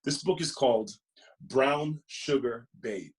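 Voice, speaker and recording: A man reading a children's picture book aloud expressively, with gentle emphasis and friendly asides to the listener. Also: medium pitch, takes it slowly, poor audio. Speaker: storybook reader.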